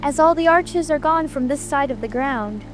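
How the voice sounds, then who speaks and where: A woman's voice: sits high, normal speed, soft background music, close to an everyday voice. A woman playing an earnest, curious and prim young girl in a dramatic audiobook reading. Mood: polite, matter-of-fact. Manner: prim, plaintive.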